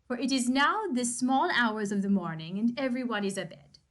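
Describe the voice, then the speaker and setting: A woman co-hosting a casual podcast, chatting with playful giggles and reading a book aloud with lively emphasis. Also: a little high, moderate pace.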